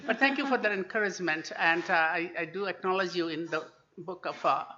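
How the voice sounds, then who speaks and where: A man's voice: high voice, brisk, sharp tone. A man, an international relations professor giving a book talk, telling anecdotes with frequent "uh" fillers. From India. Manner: hesitant with many "uh" fillers, anecdotal. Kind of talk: lecture-style book talk. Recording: dull lo-fi recording.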